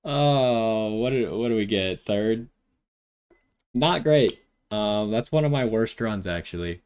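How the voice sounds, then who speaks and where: Low-voiced man commentating his gameplay. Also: animated, slow pace, a little mellow, smooth. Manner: thinks aloud while deciding. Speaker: gaming video host.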